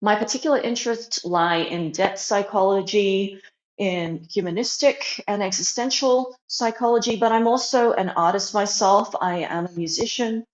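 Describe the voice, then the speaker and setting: A woman's voice: mid-range voice, normal speed. A young adult woman from Australia, born in China, introducing herself as an interview guest in an earnest, reflective way. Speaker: guest, psychology doctoral student. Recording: roomy, dull lo-fi online audio.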